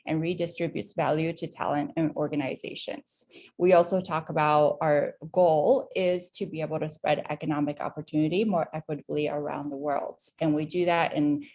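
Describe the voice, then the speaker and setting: A woman's voice: sits low, darkish tone. A woman hosting an online company update, introducing the agenda and speakers with frequent ums. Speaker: head of community, moderator.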